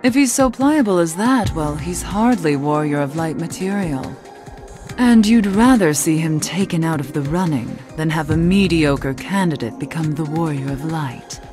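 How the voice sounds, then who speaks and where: A woman playing a somber, gently wry cursed witch in a video game, measured, earnest and questioning. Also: deep voice, animated, over music. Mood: probing, composed. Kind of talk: video game cutscene acting.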